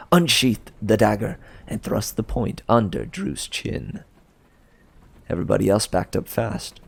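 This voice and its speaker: A man reading a storybook aloud as expressive narration, with a gravelly voice. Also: fairly low pitch, dry recording. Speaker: storybook reader.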